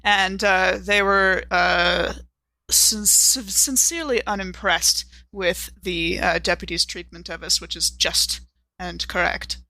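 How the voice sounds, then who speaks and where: A woman playing a tabletop game, chatting casually in a playful, animated way as she improvises. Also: lowish voice, takes it slowly, no echo, rough recording. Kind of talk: casual improvised role-play chat.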